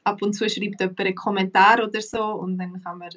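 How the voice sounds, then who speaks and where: A woman with a Swiss German accent, hosting a relaxed live chat about her language classes and filling her talk with pauses and small laughs. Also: medium pitch, recorded from a distance. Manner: relaxed, chatty, many fillers, laughs often. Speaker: language teacher and host.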